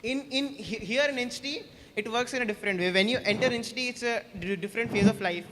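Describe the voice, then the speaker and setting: A man's voice: high-pitched, bright, forceful tone, big-room sound, a little hiss or hum. A young man, a senior student, joking with freshers on stage in casual banter with many restarts. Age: young adult. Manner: joking, teasing, quick repeated restarts. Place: large hall on stage.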